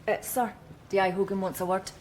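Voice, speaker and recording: A woman's voice: mid-range voice, flat delivery, fairly quick, darkish tone, natural voice. A woman playing a capable young detective sergeant with a dry, understated manner in a radio crime drama. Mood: dutiful.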